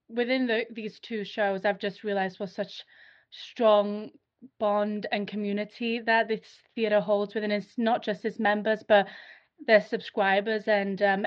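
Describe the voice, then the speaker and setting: A woman in her twenties with a Welsh accent, a guest actress chatting earnestly in an interview and thinking aloud with fillers. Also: mid-range voice. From North Wales. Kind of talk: casual interview chat. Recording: slightly dull lo-fi audio.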